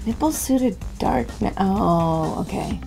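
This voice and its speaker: A woman's voice: mid-range voice, lively intonation, slow pace, gravelly, darkish tone, rough recording. A woman in her thirties casually guessing answers as a hesitant game show contestant. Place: small decorated indoor studio set. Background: music.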